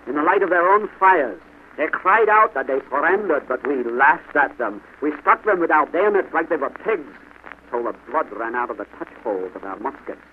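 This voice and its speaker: A man's voice: medium pitch, natural voice. A man voicing a rude, boastful Hessian sergeant in a bellowing, emphatic storybook style. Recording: noisy, tinny old radio-like recording.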